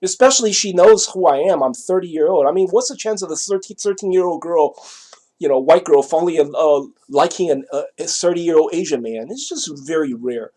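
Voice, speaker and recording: A middle-aged man from Taiwan with a Taiwanese accent, telling a personal story casually in a rambling, halting, repetitive way, often checking that the listener follows. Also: a little high, animated, darkish tone.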